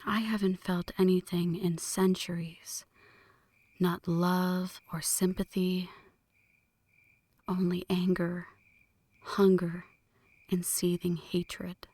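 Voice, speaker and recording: Slow whispering vampire woman , acted by a woman. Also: fairly low pitch, little pitch movement, a little mellow, no room sound. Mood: brooding. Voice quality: breathy whisper. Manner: slow, deliberate, intimate, solemn. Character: ancient flirty female vampire, brooding, lovestruck, world-weary. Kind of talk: whispered ASMR roleplay. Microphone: close microphone, dry.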